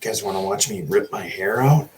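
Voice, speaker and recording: A man's voice: fairly low pitch, moderate pace, darkish tone, a little hiss or hum. A man co-hosting a casual podcast with brief reactions and jokey asides. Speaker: podcast co-host. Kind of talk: casual chat.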